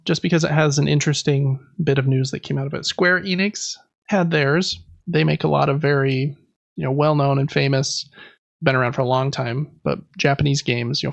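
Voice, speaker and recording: A man's voice: fairly high pitch, vocal fry, lo-fi sound. A man hosting a podcast, giving casual news commentary in an informal, conversational way. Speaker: podcast host.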